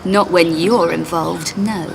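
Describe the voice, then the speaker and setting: A woman's voice: lowish voice, steady tone, slow, gravelly, background noise. A woman voicing a confident, sardonic female adventurer in a video game, dry, taunting and unflappable. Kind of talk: video game cutscene voice acting. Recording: loud music under the voice. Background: game music.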